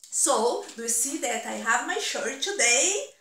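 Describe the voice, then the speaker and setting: High-voiced middle-aged woman, slow and simple delivery for children, school counselor style. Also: lively intonation, sharp tone. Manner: deliberate, simple explaining to children. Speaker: elementary guidance counselor. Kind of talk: children's storybook read-aloud and talk to pupils. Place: indoors, small room at home. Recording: roomy echo, distant mic.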